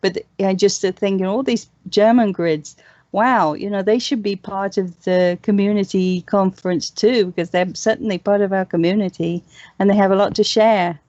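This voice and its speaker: Woman, panel guest, enthusiastic, casual discussion, medium pitch, muffled lo-fi call, dry. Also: steady tone, moderate pace, dark tone, no room sound. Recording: dull, lo-fi online voice call.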